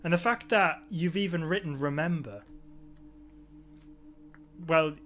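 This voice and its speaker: Brief: man, somewhat high-pitched, exasperated and sarcastic, casual podcast co-host. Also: unhurried, soft background music. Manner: exasperated, sarcastic, animated complaining. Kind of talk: casual podcast chat reviewing a TV episode.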